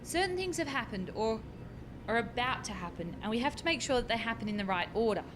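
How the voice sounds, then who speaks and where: An actress playing an earnest, bossy, harried young scientist in a radio farce, explaining things insistently. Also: female voice, on the high side, lively intonation, quick pace, noisy background, lightly acted. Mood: urgent, instructing. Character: earnest, bossy, harried female doctoral time-travel researcher.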